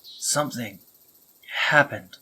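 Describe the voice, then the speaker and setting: A young man playing a remorseful, protective male spider monster in an audio roleplay, talking haltingly with stammers and soft whispers. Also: mid-range voice, slight background noise, poor audio. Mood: soothing.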